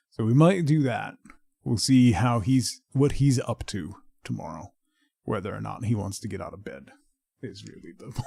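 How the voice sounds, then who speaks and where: Middle aged guy streaming games, gravelly voice, rambly and jokey, dry sound w faint music behind. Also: medium pitch, mellow, dry recording. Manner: rambling, joking, mock-scolding. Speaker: game streamer. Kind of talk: casual livestream chat. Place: indoors at home.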